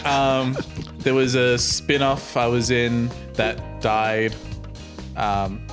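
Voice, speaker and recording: A man's voice: medium pitch, level tone, extremely slow, over music. An Australian man with a slightly British-sounding accent, chatting casually in a joking, teasing way. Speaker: podcast cast member. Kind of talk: casual chat.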